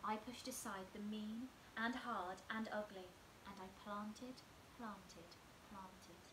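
Soft, slow woman reading a bedtime story. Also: medium pitch, echoey room, background noise. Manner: slow, soft and expressive, dropping to near whispers. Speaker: storyteller reading a picture book aloud. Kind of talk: gentle bedtime story read-aloud. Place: small room at home, indoors.

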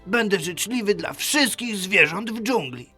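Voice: medium pitch, expressive, a little slow, bright, forceful tone, faint music behind. An actor voicing a jealous, touchy male bumblebee in a theatrical children's audio fairy tale. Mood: moved, sincere. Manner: indignant, theatrical.

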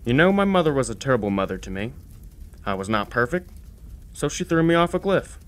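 Grumpy old blacksmith god, acted by a man, bitter and rambling, mid-pitched, audio drama. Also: very animated, brisk, fairly bright tone. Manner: grumbling, rambling about old grievances.

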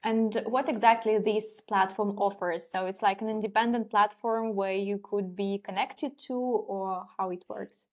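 A woman's voice: medium pitch, steady tone, measured pace, hardly any pauses, darkish tone. A woman in her twenties hosting a business podcast, asking careful questions with many hesitations. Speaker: podcast host. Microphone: wired earphones on a video call.

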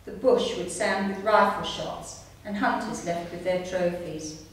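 A woman in her sixties reads her written memoir aloud to an audience in a measured storytelling manner. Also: on the low side. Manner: measured storytelling, reading from pages. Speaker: amateur writer reading her own story. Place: indoor room at a community centre, seated. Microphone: distant camera microphone. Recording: echoey room, distant mic, faint noise.

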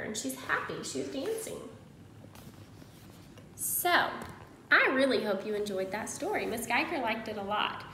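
A woman in her thirties teaching young children, expressive and instructive, in the manner of a picture-book read-aloud. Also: high voice. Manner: expressive, instructive, prompting listeners with questions. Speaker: primary school teacher. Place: indoors in a room at home. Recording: roomy echo, faint background noise.

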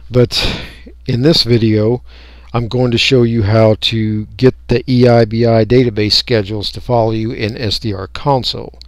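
A man talking through a software tutorial step by step in an unscripted, folksy way. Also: mid-range voice, level tone, normal speed, no echo. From United States. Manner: unscripted, folksy, explaining each click. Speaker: radio hobbyist giving a tutorial. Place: indoors, voice-over for a screen recording.

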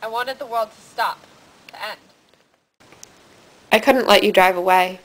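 A woman's voice: mid-range voice, roomy sound, close to an everyday voice. A teenage girl acting as a bitter, sardonic dead girl narrating confession tapes in a student film. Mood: wounded, accusing. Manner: deliberate, sardonic, dramatic delivery. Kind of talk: scripted dramatic monologue for amateur student film. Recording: hissy, distant amateur room recording.

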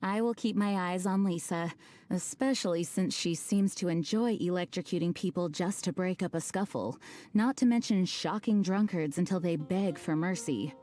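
A woman voicing a composed, responsible knight leader in a game, firm and measured. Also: on the low side, dark tone, faint music behind, natural voice. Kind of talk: game voice acting.

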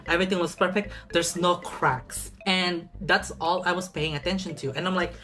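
A man's voice: high-pitched, steady tone, moderate pace, sharp tone, faint music behind. A young man chatting casually while unboxing a bag in a small apartment room, sassy and dramatic, stretching words for emphasis. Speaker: vlogger.

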